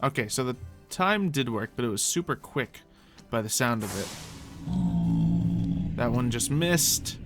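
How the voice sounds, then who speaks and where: A man's voice: mid-range voice, animated, unhurried, pauses often, over loud music. A man giving casual, improvised gameplay commentary in character, chatting to himself and thinking aloud as he goes. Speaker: gaming video host playing in character.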